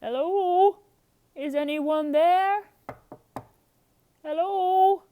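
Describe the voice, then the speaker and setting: A woman voicing an excitable, timid old father, an inventor, with an anxious, hesitant manner in a storybook. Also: extremely high pitch, extremely slow, pitched above the performer's own voice, exaggerated voice.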